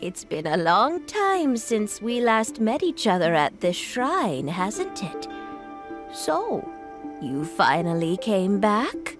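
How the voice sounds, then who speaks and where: Slow, lilting kindly grandmother. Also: mid-range voice, very animated, music in the background. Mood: gentle, puzzled. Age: older. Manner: gentle, grateful, unhurried. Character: kindly, devout old grandmother. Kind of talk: English-dubbed anime game voice acting.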